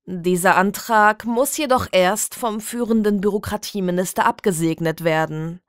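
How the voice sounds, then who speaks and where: A woman reading a scripted satirical story as an official public notice, in a formal, measured, deadpan bureaucratic manner. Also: medium pitch, smooth, no echo, clean studio sound. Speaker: narrator of a satirical audio story.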